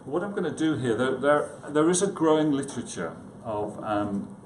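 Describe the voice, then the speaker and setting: A man's voice: medium pitch, lively intonation, moderate pace. A man giving an informal conference talk, hesitant and self-deprecating, with chatty asides to his listeners. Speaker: conference speaker, psychiatrist. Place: conference room, indoors. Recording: echoey room, clearly noisy background.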